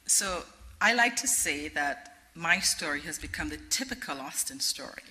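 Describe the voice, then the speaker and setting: A middle-aged woman with a Trinidadian accent speaks in an earnest way and often restarts her phrases. Her voice sits a little low but sounds bright and forceful. She talks as the guest at a public forum. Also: animated, moderate pace, slight background noise, low-quality recording. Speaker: guest speaker, interfaith director. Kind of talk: public forum talk answering questions.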